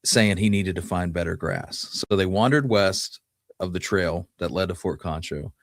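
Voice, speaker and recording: Male podcast host, slightly low voice, folksy and conversational history storytelling, very dry sound. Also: normal speed, dry recording. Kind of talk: casual storytelling, Wild West history talk.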